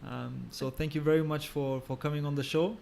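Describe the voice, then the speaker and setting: A man's voice: a little high, steady tone, brisk, vocal fry, darkish tone, slight background noise. A man hosting a podcast, introducing and interviewing a guest. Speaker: podcast host.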